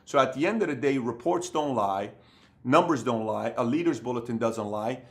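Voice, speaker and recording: A man's voice: mid-range voice, normal speed. Punchy motivational man coaching on camera. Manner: emphatic, repetitive, acts out other people's voices. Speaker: business coach. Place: indoor room with bookshelves.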